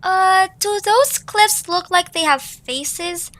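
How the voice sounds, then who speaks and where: A woman playing a young, analytical and excitable cartoon creature in an amateur audio drama, explaining things earnestly and expressively. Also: very high-pitched, slow pace, heavily acted. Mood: uneasy.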